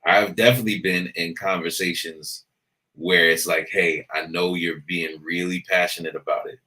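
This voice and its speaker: A man with a big, booming voice, speaking very low in pitch yet with a bright, forceful ring. He is a podcast guest in casual discussion and works through his points in a thoughtful, measured way. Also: little pitch movement.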